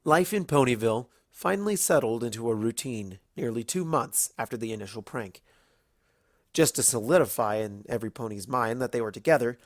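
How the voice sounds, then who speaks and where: A narrator reading a fan-fiction story aloud in an expressive storybook style. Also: medium pitch, no room sound, clean studio sound.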